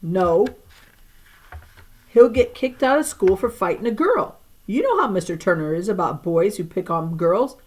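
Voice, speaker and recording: A woman's voice: medium pitch, animated, a little slow, darkish tone. Storybook big brother, read by a woman: young country boy, fed up and reluctant, ungrammatical talk. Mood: worried. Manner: rustic ungrammatical speech. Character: exasperated, reluctant country schoolboy, protective older brother.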